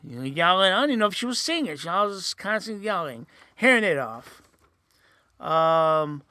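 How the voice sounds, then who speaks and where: A man's voice: sits high, sharp tone, clear, resonant tone, dry recording, studio quality. A man hosting a casual solo podcast, rambling with many fillers and restarts, his voice sometimes a little nasal. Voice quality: says he sometimes sounds nasal. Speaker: host.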